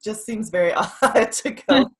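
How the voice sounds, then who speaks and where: A woman's voice: mid-range voice, measured pace. A woman with an American accent hosting an interview in an informal, chatty way. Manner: informal, peppers speech with "like". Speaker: podcast host, interviewer. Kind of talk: casual chat.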